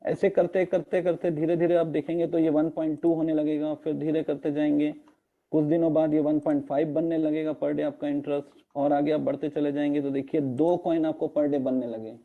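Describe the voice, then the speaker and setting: A man with a hoarse throat presenting in an online meeting, explaining step by step and slipping English terms into his talk, with fillers. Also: fairly high pitch, level tone, normal speed, narrow, tinny audio. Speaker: network marketing presenter. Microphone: video call.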